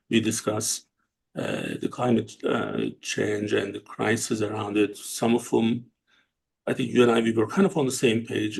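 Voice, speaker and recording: Gravelly man hosting. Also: fairly low pitch. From Turkey. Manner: halting, with many fillers and restarts. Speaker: host and company CEO. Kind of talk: casual interview talk.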